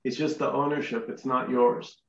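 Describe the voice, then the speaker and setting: A man's voice: medium pitch, flat delivery, moderate pace, vocal fry, echoey room, distant mic, muffled recording. A man hosting a casual group chat, talking emphatically and repeating short denials over a video call. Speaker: host.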